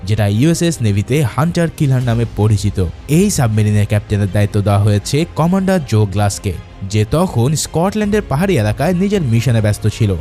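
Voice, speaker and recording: A man's voice: mid-range voice, animated, fast, mellow. A man narrating a film's story as a scripted, dramatic recap. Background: background music.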